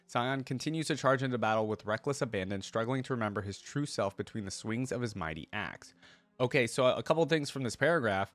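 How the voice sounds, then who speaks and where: A man's voice: mid-range voice, fairly bright tone, no echo. Dry, close-miked man doing reaction commentary. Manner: conversational, interjects his own reactions while reading. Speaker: YouTube reaction host. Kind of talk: casual reaction commentary mixed with reading lore aloud.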